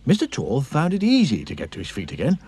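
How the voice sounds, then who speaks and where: A man narrating a children's cartoon story in an expressive storybook style. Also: mid-range voice, fast talker, slightly rough.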